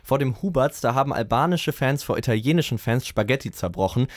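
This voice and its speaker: Male radio co-host, joking storyteller, mid pitch, dry studio sound. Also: lively intonation, brisk, dry recording. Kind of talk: casual radio banter.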